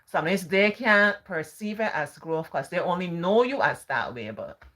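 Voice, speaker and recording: Barbadian woman, live-stream host; deep, calm, sing-song delivery. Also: normal speed. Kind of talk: casual live-stream talk.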